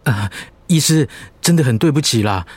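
A man's voice: mid-range voice, animated, normal speed, husky, no room sound. A man playing a worried, deferential son of a sick mother in a radio drama. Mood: anxious.

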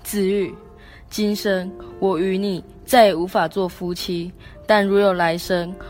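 A woman's voice: medium pitch, flat delivery, a little slow, a little mellow, lightly acted. A woman playing a devoted young man in love in an amateur radio drama, earnest and declamatory, with a Taiwanese Mandarin accent. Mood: impassioned grief. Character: devoted, heartbroken young male lover. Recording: background music under the voice.

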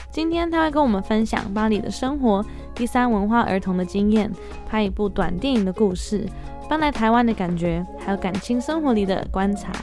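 A woman's voice: medium pitch, darkish tone. A young adult woman hosting a bilingual podcast interview, chatty and laughing often. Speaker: podcast host.

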